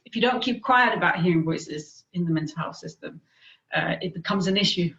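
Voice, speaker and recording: A woman's voice: fairly low pitch, fairly bright tone. Danish-accented woman, thoughtful and muffled. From Denmark. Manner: thoughtful, with frequent pauses and fillers. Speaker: guest, peer expert on hearing voices. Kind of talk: reflective interview answers.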